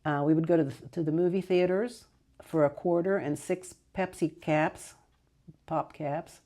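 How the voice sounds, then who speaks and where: An older woman who grew up speaking Greek at home, fondly recalling her childhood in a rambling interview with soft laughs and self-corrections. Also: deep voice, steady tone, measured pace. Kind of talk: casual reminiscing interview.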